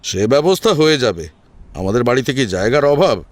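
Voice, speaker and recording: A man's voice: medium pitch, very animated, fairly quick, dry recording, lightly acted. A man voicing a scheming eldest brother who feigns kindness to his younger sister, falsely sweet and patronizing, in an audio story. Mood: coaxing. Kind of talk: Bengali audio story acting.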